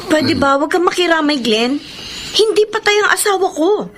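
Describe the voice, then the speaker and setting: A woman's voice: extremely high pitch, expressive, moderate pace. A woman playing an emotional, insistent young wife in a Filipino radio drama. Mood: defiant. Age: young adult. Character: distraught young wife Almina, refusing to believe she is widowed. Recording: noisy radio drama recording with clicks.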